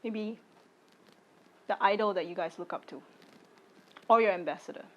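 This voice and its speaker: A woman in her late twenties from Singapore, giving a personal video statement in deliberate, firm, short phrases. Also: medium pitch, highs cut off. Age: late 20s. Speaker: content creator.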